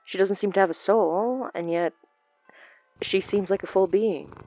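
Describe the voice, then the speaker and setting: An actress playing a wry, gentle young woman in an audio drama, speaking in a thoughtful, playful, conversational way. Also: mid-range voice, unhurried, highs cut off, soft background music. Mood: playful, curious.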